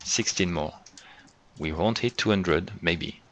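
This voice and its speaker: A man leading an online developer meeting in casual chat, quick and lively, laughing often, on a computer mic. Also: deep voice, background noise. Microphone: computer mic on a video call.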